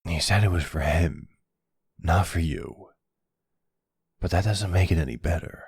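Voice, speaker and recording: Tender mafia boss boyfriend, male performer, middle-aged, gentle and reassuring, rock-bottom pitch, raspy, for ASMR roleplay. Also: measured pace, no echo, studio quality, full character voice. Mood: stern, compassionate.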